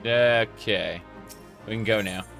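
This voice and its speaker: A man's voice: on the low side, takes it slowly, gravelly, a bit bright. A man doing casual live gameplay commentary as a streamer, reacting off the cuff. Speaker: game streamer. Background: game music.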